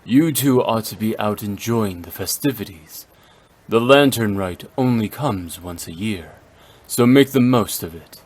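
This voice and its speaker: A man playing a calm, learned, dignified gentleman in a video game, speaking formally and with composure. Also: on the low side, a little slow. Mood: calm, kindly. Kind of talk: measured game voice acting. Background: background music.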